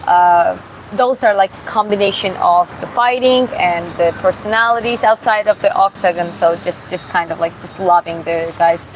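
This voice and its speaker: A woman from Georgia who streams and boxes, reading viewer comments aloud in a live Q&A and answering casually, often pausing and restarting to find her words. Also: fairly low pitch, rough recording. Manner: casual, halting, searches for words with many restarts. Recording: steady background hum.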